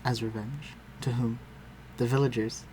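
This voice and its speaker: A man playing an aristocratic vampire master in a scripted audio roleplay, speaking formally and with measured, condescending concern for the listener. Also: mid-range voice, steady tone, noisy background, lightly acted. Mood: coldly menacing. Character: aristocratic male vampire master, civil, protective, coolly superior.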